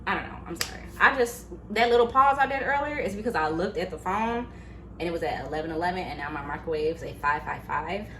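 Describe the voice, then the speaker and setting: A woman in her late twenties talking to her YouTube viewers in a casual, animated, chatty way, full of fillers. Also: fairly low pitch, quick pace, slight background noise. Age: late 20s. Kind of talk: casual motivational vlog. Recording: echoey room, faint ambience.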